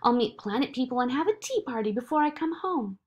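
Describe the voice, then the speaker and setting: Woman playing excitable little girl, high and bouncy. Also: brisk, breathy edge, dull audio. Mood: dreamy, excited. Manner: eager, boastful, emphatic. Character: excitable, defiant little girl full of big dreams. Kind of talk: animated picture-book read-aloud.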